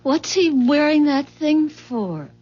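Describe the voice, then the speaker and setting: A dull vintage radio drama recording of an everyday wife full of wonder. She talks slowly in a high, husky voice, curious about what she sees. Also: lively intonation, dark tone. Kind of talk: 1970s radio drama acting. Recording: dull 1970s radio recording, faint music.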